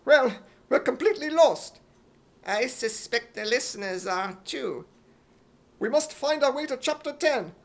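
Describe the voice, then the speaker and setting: A man playing an excitable, blustering, gullible hero with a British accent in a radio comedy, frantic and exclamatory. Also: very high voice, measured pace, exaggerated voice. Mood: urgent. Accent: imitated British. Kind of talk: 1950s radio comedy acting.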